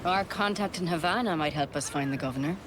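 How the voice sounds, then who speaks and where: A woman's voice: on the low side, fast talker, lightly acted. A woman acting a seasoned, loyal pirate shipmate in a video game, talking in a frank, matter-of-fact way. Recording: clear ambient noise behind the voice.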